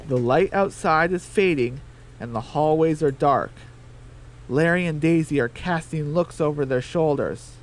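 A man's voice: on the high side, unhurried, slight background noise. A man narrating a scripted comedy radio drama as its storyteller.

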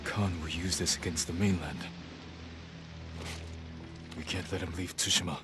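An actor voicing a grave, stoic samurai lord in a game, speaking in a calm, measured way over music. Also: male voice, low-pitched, natural voice. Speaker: game character.

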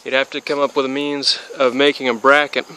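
Flat-voiced young man rambling through a how-to. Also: mid-range voice, brisk, clear, resonant tone. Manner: rambling, many fillers and restarts, flat delivery. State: a bit sick and spacey. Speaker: DIY hobbyist. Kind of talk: casual how-to explanation. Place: outdoors by a car, then under a metal-roofed shed.

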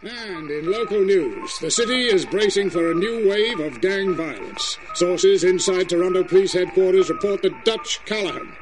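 An urgent male newsreader from a 1930s radio play. He announces formally in a high, clipped voice with music playing beneath. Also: expressive, moderate pace, hardly any pauses, rough recording. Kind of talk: 1930s newscast acting. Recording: music under the voice.

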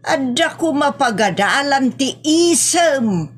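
A woman playing a brisk, nagging village woman in a comedy drama, chiding and chatty with an Ilocano accent. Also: sits high, animated. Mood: scolding.

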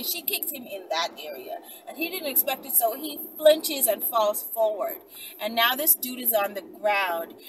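A young woman telling a story casually and dramatically to the camera, acting out bits as she goes. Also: on the high side. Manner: dramatic, excitable delivery, acting out crowd shouts and quotes. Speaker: vlogger, storyteller. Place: inside a moving car. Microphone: camera mounted in the car, at about arm's length. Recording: distant mic, loud vehicle road noise. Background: car engine and road noise.

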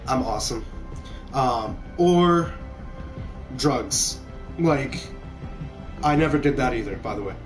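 A man's voice: medium pitch, expressive, slow pace, pauses often. A young man from Kentucky with an American accent, telling rambling casual stories while he streams a video game. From small town in Kentucky, USA. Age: young adult. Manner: rambling, conversational. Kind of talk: casual storytelling over gameplay. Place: indoor room at home. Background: background music.

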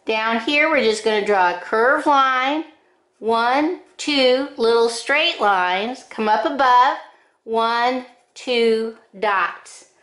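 An older woman giving step-by-step drawing instructions in short, deliberate phrases with frequent pauses, recorded in an echoing room. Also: fairly high pitch, a bit bright. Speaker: drawing teacher. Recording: roomy, echoing indoor sound.

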